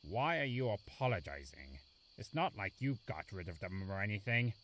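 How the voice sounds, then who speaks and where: A man's voice: fairly low pitch, big pitch swings, normal speed, fairly bright tone. A man voicing a grumpy, aloof and lonely forest beast in a cartoon, curt and brooding. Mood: brooding, suspicious. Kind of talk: animated fan drama acting. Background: background music.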